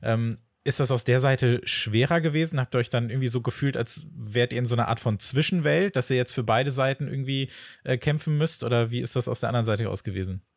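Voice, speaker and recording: A man's voice: mid-range voice, fast talker, gravelly, dry recording, clean studio sound. A man co-hosting a podcast interview, asking long searching questions and rephrasing as he goes.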